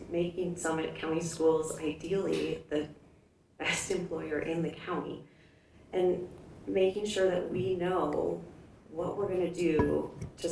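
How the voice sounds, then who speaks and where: A woman with a low, gravelly voice gives a conversational campaign speech as a candidate. The sound is roomy, as if miked from some way off. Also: darkish tone. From Hawaii, United States. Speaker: school board candidate. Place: indoor public forum room. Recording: echoey room from a distance, faint noise.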